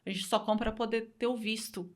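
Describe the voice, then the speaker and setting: A woman's voice: on the high side, fairly even intonation, fast talker. A Brazilian woman speaking Portuguese with a Brazilian accent, a guest telling her story in a casual interview, earnest and repeating phrases for emphasis.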